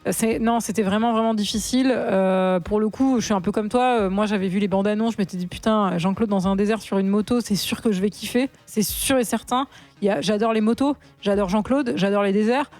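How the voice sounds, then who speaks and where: Brief: woman co-host, mid-pitched, blunt and slangy, casual banter. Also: a little fast, darkish tone, no echo, faint music behind. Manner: frank, slangy, laughs easily. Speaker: film podcast co-host. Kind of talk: casual chat.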